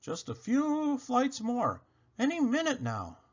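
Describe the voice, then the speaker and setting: Man putting on an excitable, high-pitched young boy. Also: slow, lo-fi sound, full character voice. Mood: urgent, encouraging. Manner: excited, earnest. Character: eager, excitable young boy, Santa's helper.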